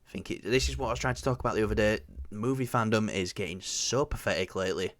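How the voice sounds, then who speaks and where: A man's voice: lowish voice, fast talker, dry recording. A young man with a Yorkshire accent co-hosting a movie review show, chatting casually with lots of fillers and restarts. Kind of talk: casual chat.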